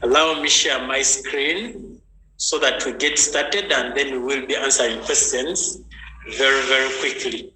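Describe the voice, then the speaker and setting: A man's voice: mid-range voice, a little slow, sharp tone. A man hosting an online health training, chatty and greeting viewers by name. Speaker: health session host. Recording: echoey room with faint noise.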